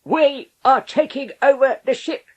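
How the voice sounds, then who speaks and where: A man voicing a bossy, cheerful male pirate mate who barks out brisk commands. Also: extremely high pitch, dull audio, voice pushed up. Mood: smug. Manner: brisk, drill-sergeant commands.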